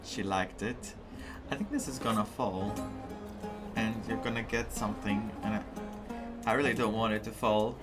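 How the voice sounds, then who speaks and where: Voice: medium pitch, steady tone, normal speed, long pauses. A streamer from Greece giving casual gameplay commentary in a chatty, reactive way with hesitant phrasing. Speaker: gaming streamer. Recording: game music under the voice.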